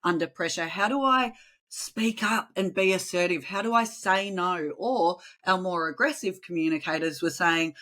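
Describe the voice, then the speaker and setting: An Australian woman talking in a lively, animated way as a guest on a podcast interview. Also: lowish voice, moderate pace. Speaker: podcast guest, communication trainer. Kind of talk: casual interview chat.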